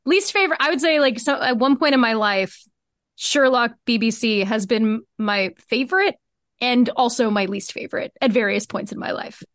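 Woman, slightly high voice, casual interview chat, self-deprecating wry humour, very little room sound. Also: moderate pace, fairly bright tone, no echo, studio quality. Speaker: podcast guest, fiction podcast co-creator.

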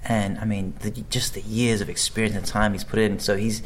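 A man's voice: on the low side, level tone, fairly quick, a little hiss or hum. A man, an actor, chatting casually in an interview, rambling with many restarts and fillers. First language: English. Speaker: actor guest.

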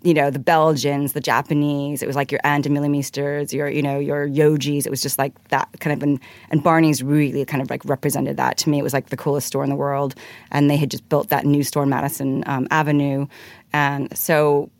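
A woman's voice: sits low, little pitch movement, a little fast, a little mellow, dry recording. A woman from Hong Kong, a guest in a studio interview, talking in a chatty, rambling way with many "like"s and restarts. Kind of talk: casual interview answer. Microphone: studio mic.

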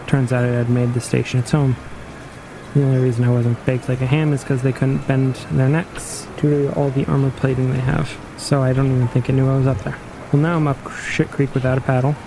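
A man playing a dry, matter-of-fact post-apocalyptic wayfinder in an audio drama, speaking in a measured, precise way. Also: mid-range voice, vocal fry, background noise, lightly acted. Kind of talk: audio drama interview storytelling.

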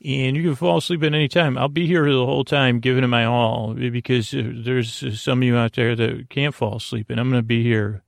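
A man hosting a bedtime podcast in a creaky, lulling voice, meandering through a halting monologue full of tangents and self-interruptions. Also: medium pitch, flat delivery, no echo, studio quality. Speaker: podcast host.

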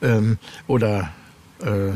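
A man's voice: on the low side, fairly even intonation, slow, dark tone. A man being interviewed as an author, chatting casually and searching for words with repeated phrases.

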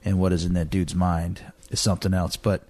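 A man in his thirties explaining reflectively in conversation, deliberate and halting, repeating phrases for emphasis. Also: low voice, level tone, darkish tone, dry recording.

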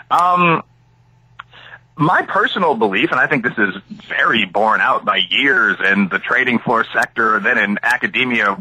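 Middle-aged american guy, academic being interviewed, really sharp forceful voice w some rasp, opinionated and analytical. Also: on the low side, low-quality recording. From Chicago area, United States. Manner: analytical, opinionated, hedging with asides and examples. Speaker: interview guest, academic and author. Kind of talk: discursive interview answers.

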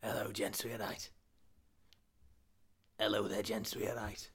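A man voicing a breezy, chummy bloke in breathy takes of casual greetings for an audio comedy. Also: on the low side, little pitch movement, unhurried, fairly bright tone, voice pushed down, heavily acted. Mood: matey, muted. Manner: matey, repetitive, casual greetings.

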